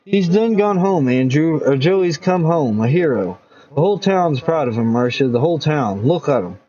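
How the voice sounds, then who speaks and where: A woman's voice: deep voice, expressive, moderate pace, mellow. A man voicing a doting small-town mother who gushes over her son back from war. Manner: fussing, tearful, gushing. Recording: dull lo-fi recording.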